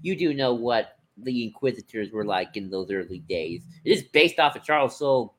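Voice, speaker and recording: A podcast co-host in casual discussion, speaking in a hesitant, halting way as if thinking aloud. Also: mid-range voice, lively intonation. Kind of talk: casual discussion of franchise books and comics.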